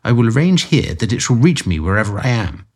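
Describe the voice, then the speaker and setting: A man reading aloud voices a sharp, authoritative detective with a dry wit. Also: mid-range voice, sing-song, fairly quick. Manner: crisp, authoritative. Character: sharp, commanding male detective, dry humor.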